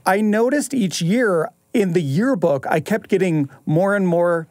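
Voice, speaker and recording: A man's voice: high-pitched, animated, measured pace, darkish tone, no room sound, clean studio sound. A man co-hosting a podcast, moving between conversational chat and scripted announcements. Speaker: podcast co-host. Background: music bed.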